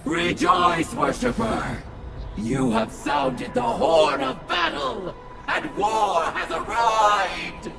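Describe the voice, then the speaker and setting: A woman voicing a merciless, exultant alien war god in a video game, making booming, archaic battlefield proclamations. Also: sing-song, very slow, gravelly, reverberant, raised voice, over loud music, heavily acted. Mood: exultant fury. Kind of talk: theatrical video game acting.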